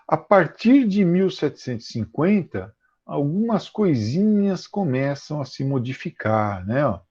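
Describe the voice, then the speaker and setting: Husky Brazilian man teaching history online. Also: a little high, sing-song, darkish tone. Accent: Brazilian Portuguese. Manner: explains with many fillers and repeats key terms slowly. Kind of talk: online class lecture. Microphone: computer mic on a video call. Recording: muffled, slightly dull video call audio.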